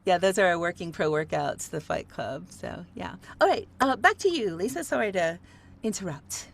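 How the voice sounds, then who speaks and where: A woman's voice: lowish voice, expressive, moderate pace, gravelly, slight background noise. A woman hosting an online workshop, talking casually with many fillers and easy laughs. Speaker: host.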